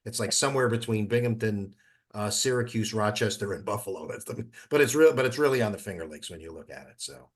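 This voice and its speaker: A man chatting casually in an online meeting, talking in a halting way with repeated words. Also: fairly low pitch, lively intonation. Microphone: teleconference call.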